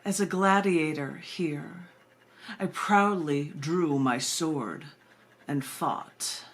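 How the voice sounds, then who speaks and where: A woman's voice: low-pitched, very slow. A woman poet giving a conversational live reading, informal and chatty, with asides. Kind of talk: conversational poetry reading on a livestream. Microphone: compact camera microphone.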